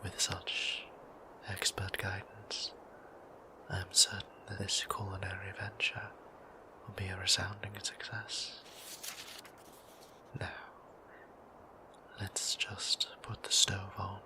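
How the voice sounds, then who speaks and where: A man playing a gentle, courteous vampire priest in an intimate audio roleplay, speaking in a breathy whisper with formal, old-fashioned phrasing. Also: poor audio. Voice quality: breathy whisper with creaky low tone.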